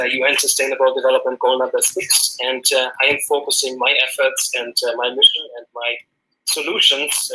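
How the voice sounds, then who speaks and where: A man with a German accent speaks as a guest on a remote call. His voice is bright and sharp but stays quite level in pitch. He talks earnestly, with many pauses and restarts. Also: rough recording. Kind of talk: interview answers. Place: Los Angeles, remote call.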